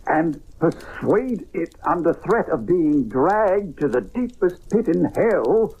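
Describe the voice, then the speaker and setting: A grave occult doctor speaks with slow, deliberate weight. The voice is husky and formal, with an ominous, theatrical edge suited to an old radio mystery. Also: mid-range voice, big pitch swings, a little mellow, lo-fi sound, exaggerated voice. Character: grave occult doctor explaining and exorcising a malevolent spirit.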